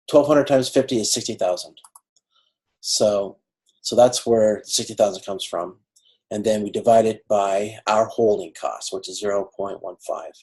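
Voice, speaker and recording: A man teaching as a college instructor, explaining a worked example in an informal, halting way with repeated phrases. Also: lowish voice, vocal fry, a little mellow, low-quality recording. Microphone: video conference.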